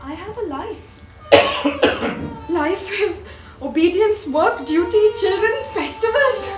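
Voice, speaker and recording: A wistful woman, now a doctor and a mother, her voice high and unhurried, as an actress plays her. It is caught from a distance on a roomy theatre stage, with music underneath. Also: animated, heavily acted. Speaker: stage actor. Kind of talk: live stage acting.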